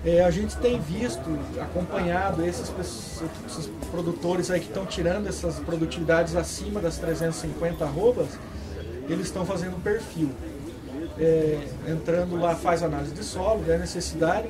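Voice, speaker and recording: A man talking casually at an outdoor fair, reeling off numbers quickly. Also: high-pitched. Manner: quick talk full of numbers. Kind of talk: casual talk at a farm fair. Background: crowd chatter.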